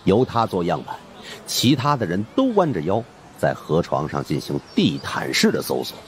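A man's voice: sits low, big pitch swings, normal speed. A man narrating a story as a dramatic scripted audiobook in the first person. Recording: faint background noise.

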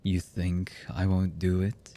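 A voice actor playing a cold, embittered villain man in an ASMR roleplay, husky and menacing, with halting delivery that often drops to a murmur. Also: flat delivery, very noisy. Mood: cold menace. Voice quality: husky, low.

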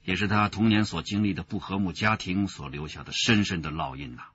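An actor playing a seasoned police detective in a radio drama, explaining with deliberate authority. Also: male voice, low-pitched, fairly bright tone, breathy edge. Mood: analytical, grave. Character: seasoned male police detective leading case analysis. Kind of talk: Chinese radio drama acting. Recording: muffled radio drama recording.

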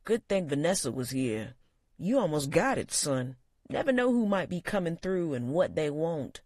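A narrator voicing a gruff older male bartender with a raspy, growling voice and a folksy drawl. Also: medium pitch, big pitch swings, normal speed, no room sound, studio quality, voice pushed up. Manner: folksy drawl, loud and blustery.

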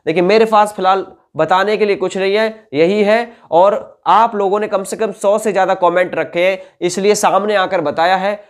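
A man's voice: sits high, fairly even intonation, moderate pace, hardly any pauses. A man, lecturing informally and emphatically as an exam coach, repeating points and checking that listeners follow. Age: 20s. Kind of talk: informal explanatory lecture to camera. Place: indoor room with whiteboard.

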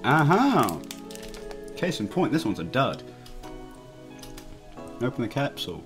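A man's voice: medium pitch, sing-song, slow pace, a bit bright, soft background music. A man reading a visual novel aloud as a let's play host, casual and jokey, often stumbling over his words and giggling. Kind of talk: casual read-aloud with joking commentary.

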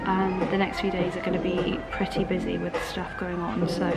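A woman's voice: fairly low pitch, completely flat delivery, moderate pace, vocal fry, loud music behind the voice. A young woman in her twenties chatting upbeat to a handheld camera, full of fillers, a little bunged up with a cold. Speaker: vlogger. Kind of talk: casual vlog chat to camera. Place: cafés and a city street.

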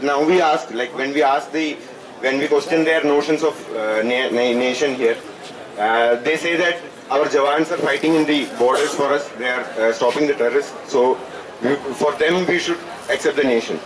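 A man in his twenties with an Indian accent gives an impromptu public address as a student speaker, haltingly repeating phrases as he searches for words. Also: mid-range voice, crowd noise. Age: 20s. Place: outdoors at night under a canopy. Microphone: handheld microphone, recorded from a distant camera. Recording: noisy outdoor PA, distant camera pickup.

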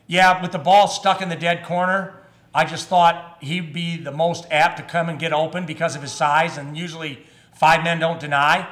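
Fifties male coach, a bit high-pitched, halting and measured, speaking into a press table mic. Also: level tone, a bit bright. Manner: measured, with frequent fillers and restarts. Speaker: head basketball coach. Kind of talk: postgame press conference. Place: press room in front of a sponsor backdrop. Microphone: table microphone.

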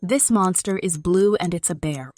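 A woman reading scripted quiz narration as the narrator, in a measured announcer style. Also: on the low side, a little mellow.

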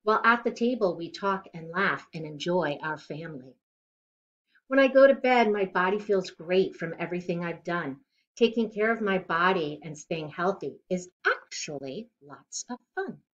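A middle-aged woman reading a children's picture book aloud in an expressive, deliberate way, recorded indoors at home. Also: lowish voice, muffled recording. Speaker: children's storybook reader. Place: living room at home, indoors.

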